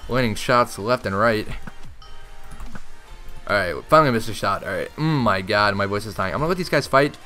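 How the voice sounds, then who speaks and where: A young man giving casual, excitable commentary over his own gameplay, talking straight to his viewers, his voice strained from shouting. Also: fairly low pitch, moderate pace, pauses often, faint music behind. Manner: chatty, excitable, talks to viewers. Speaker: gaming YouTuber.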